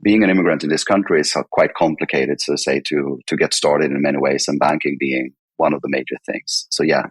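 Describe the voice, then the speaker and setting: A man's voice: rumbling low, steady tone, fairly quick, hardly any pauses, slightly rough, no echo. A man from northern Sweden with a Swedish accent, answering interview questions conversationally as a podcast guest, sometimes repeating words as he explains. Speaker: podcast guest, company chief executive.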